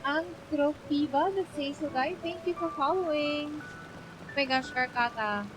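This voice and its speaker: High-voiced Filipino woman streamer, emotional. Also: a little slow, low-quality recording. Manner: emotional, exclamatory. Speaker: game livestreamer. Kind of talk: casual livestream chat reacting to a game. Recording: music playing behind the voice. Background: game music.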